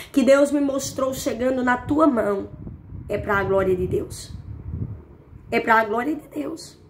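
A woman's voice: sits high, slight background noise. A woman in her thirties delivering a fervent prophetic word, recorded on a phone at arm's length in a small room. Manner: fervent, repetitive, exclaims "eita Deus", gestures a lot. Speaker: prophetic preacher. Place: small indoor room, plain wall. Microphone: phone camera at arm's length.

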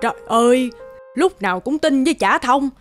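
An actress playing the exasperated, forgetful wife of a rich villager in an animated folktale. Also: female voice, high-pitched, normal speed, soft background music.

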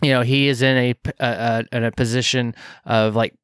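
A man co-hosting a casual podcast, talking in halting stops and starts with lots of fillers. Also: mid-range voice, flat delivery, a bit bright, clean tone, no room sound, clean studio sound. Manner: halting, many fillers and restarts. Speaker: podcast co-host.

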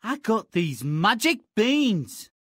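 Lively, cheeky peasant boy. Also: mid-range voice, takes it slowly, breathy edge, no room sound, studio quality, heavily acted. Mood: eager. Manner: cheeky, nonchalant. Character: lazy, cheeky, hungry young peasant boy. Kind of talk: video game character acting.

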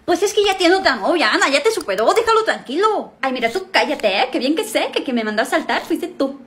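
A young woman acting out a comedy sketch, switching quickly between characters in a small room at home. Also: sits high, big pitch swings, a bit bright. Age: young adult.

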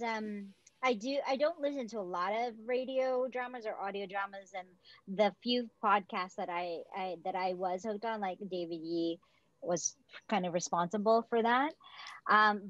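A woman's voice: mid-range voice, dry recording. A woman in her forties, a theatre director, chatting on a video call from home with many fillers. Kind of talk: casual interview on a video call. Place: home room, indoors. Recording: dull video call audio.

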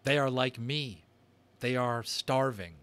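A man voicing a lone stranded boy in a story, intense and halting, repeating his words. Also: medium pitch, fairly bright tone. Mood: desperate. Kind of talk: dramatic audiobook character reading.

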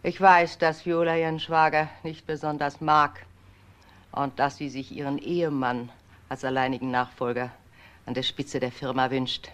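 A woman's voice: deep voice, flat delivery, moderate pace, natural voice. An actress as a stern, bitter elderly mother. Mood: indignant, imperious. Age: older. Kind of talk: German crime radio drama acting.

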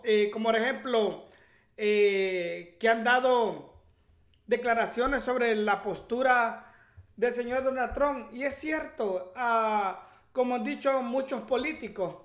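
A man's voice: extremely high pitch, fairly bright tone. A man, hosting casual political commentary and piling up repetitions for emphasis. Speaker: host of a commentary channel. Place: home office, indoors. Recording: echoey room sound.

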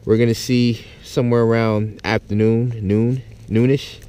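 A man's voice: mid-range voice, level tone, measured pace, mellow, clear, resonant tone. A man talking casually and off the cuff to his viewers as an outdoor gear vlogger. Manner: informal, unscripted, addressing viewers. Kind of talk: casual vlog talk. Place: outdoors in a yard, in and around a tent.

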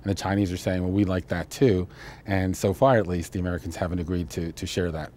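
An older man with a deep voice speaks as an expert guest in an interview. His delivery is measured and explanatory, with faint ambient noise behind him. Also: level tone, a little mellow. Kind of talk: television interview analysis. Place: indoor studio, seated interview.

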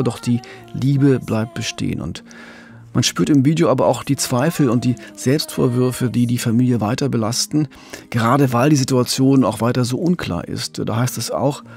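A man's voice: medium pitch, moderate pace, gravelly, darkish tone, faint music behind. A man, talking earnestly and explaining things as co-host of a true-crime podcast.

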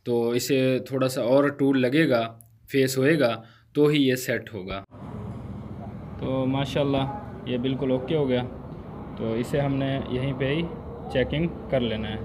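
A man's voice: medium pitch, darkish tone, a little hiss or hum. A man in his thirties, a truck mechanic, explaining a brake valve repair step by step in a workshop and often checking in with the viewer. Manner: explanatory, repeats "theek hai" to check in with the viewer; uses frequent Islamic phrases like inshallah and mashallah. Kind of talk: hands-on repair tutorial.

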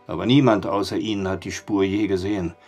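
A man's voice: sits low, fairly even intonation, fast talker, a little mellow, faint music behind. An actor playing a dry, skeptical male barkeeper in an audio drama. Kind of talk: German audio drama acting.

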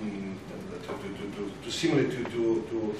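A lowish male voice addresses a panel in a halting, searching manner. The sound is very echoey and distant, with clear noise around it. He repeats small words as he builds formal political points. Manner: halting, hesitant delivery with frequent repeated words. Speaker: panel speaker. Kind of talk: political round-table address. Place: large parliament meeting room, indoors. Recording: roomy, distant, noisy conference recording.

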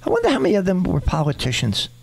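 A New man hosts a radio talk show, his voice pitched slightly high. He speaks with heated conviction, stumbling over repeated words as he pushes his point. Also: wildly swooping pitch, racing, vocal fry, slightly rough. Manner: impassioned, stammering restarts.

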